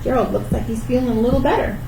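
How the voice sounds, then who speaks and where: Female storytime host for small children, lively read-aloud delivery, high register, echoey room with distant mic. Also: fast, creaky. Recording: roomy, distant mic, faint background noise.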